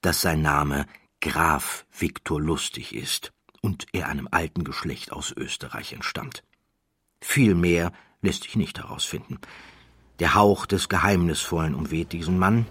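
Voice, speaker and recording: A man narrating an audiobook from a literary script, with measured, dramatic phrasing. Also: low voice, a bit bright, husky, no room sound, studio quality.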